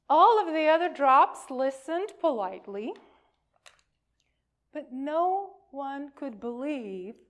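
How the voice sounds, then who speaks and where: A woman reading a children's story aloud to a congregation, telling it expressively with emphasis and deliberate pauses. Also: sits high, fairly bright tone.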